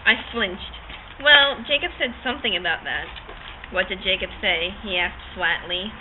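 A woman's voice: medium pitch, expressive, normal speed. A young woman giving a theatrical, informal read-aloud of a novel, often breaking into giggles. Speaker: amateur reader. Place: open-sided park picnic shelter outdoors. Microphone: camcorder across a picnic table. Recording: tinny phone-like audio, noisy outdoor picnic shelter.

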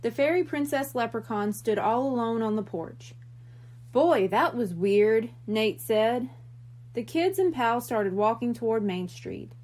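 A woman reading a children's book aloud in an expressive storytelling style. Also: fairly high pitch, measured pace.

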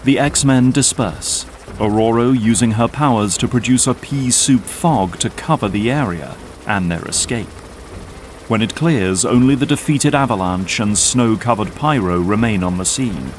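A man's voice: on the low side, unhurried, a little hiss or hum. A man delivering scripted narration for an audio drama, as its narrator.

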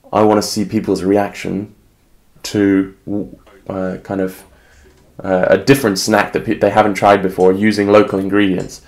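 Creaky-voiced young English man. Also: low-pitched, a little mellow. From England. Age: 20s. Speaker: aspiring restaurateur and home cook. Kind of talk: casual interview talk.

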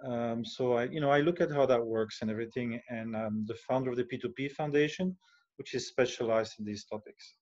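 A man's voice: medium pitch, brisk, a little mellow. A man from Belgium introducing his work on a video call, thoughtful and hesitant, with many fillers. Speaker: foundation founder. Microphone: headset on video call. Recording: dull lo-fi video call audio.

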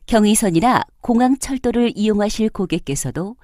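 Lively-pitched, mellow woman transit announcer. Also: normal speed, no echo, studio quality. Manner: formal, polite, carefully enunciated. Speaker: subway announcer. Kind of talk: scripted public transit announcement.

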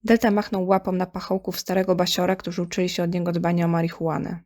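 A woman's voice: fairly low pitch, level tone, fast, darkish tone. A woman reading scripted story narration in Polish as the narrator of an audio drama.

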